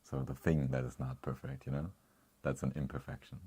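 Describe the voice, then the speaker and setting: A man's voice: extremely low pitch, flat delivery, fairly quick, warm tone, slightly rough. A man co-hosting a casual chat, reading viewer comments, rambling with frequent chuckles. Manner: rambling, chuckles often, restarts. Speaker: co-host.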